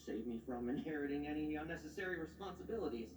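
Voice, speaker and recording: A distant, echoey game recording of a sly young schemer, performed by an actor. The mid-pitched voice is theatrical and deliberate, taunting coolly with broad swings in tone. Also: animated, noisy background, close to an everyday voice. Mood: cool, knowing. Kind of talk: scripted video game acting.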